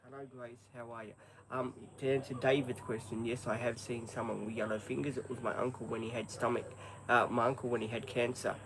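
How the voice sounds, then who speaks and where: An Australian man in his fifties talks casually on a live stream, rambling and hesitant, filling gaps with ums. He is outdoors, with crickets and insects chirping clearly behind him. His pitch sits in the ordinary middle. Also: flat delivery, brisk, noisy background. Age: 50s. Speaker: livestreamer.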